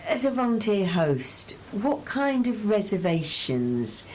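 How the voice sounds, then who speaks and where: A woman's voice: mid-range voice, big pitch swings, slow, darkish tone, a little hiss or hum, poor audio. A woman asking questions as a radio interviewer in a conversational style.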